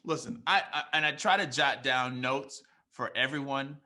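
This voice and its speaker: Young male emcee, hyped and casual, bright punchy voice. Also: medium pitch, normal speed. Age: young adult. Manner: enthusiastic, bursts of shouting and laughing, casual slang. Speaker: event host and teacher. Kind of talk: energetic off-the-cuff remarks.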